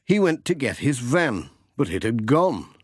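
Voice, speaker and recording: A man narrating a children's story as an audiobook reader, with expressive storytelling delivery. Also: medium pitch, a little slow, breathy edge, dry recording, studio quality.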